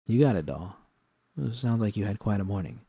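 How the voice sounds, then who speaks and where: A man's voice: lowish voice, expressive, a little fast, dark tone, breathy edge, no echo. A man voicing a wry, tough, teasing woman bartender with a slangy, frank manner in a story.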